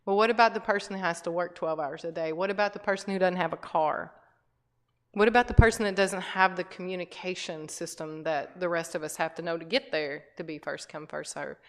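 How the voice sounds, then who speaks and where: A woman's voice: lowish voice, steady tone, brisk. A middle-aged woman giving a conversational talk to a church group, with many fillers and restarts. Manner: conversational, frequent fillers and restarts, laughs lightly. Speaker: guest speaker. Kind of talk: informal talk to a church audience. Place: church hall.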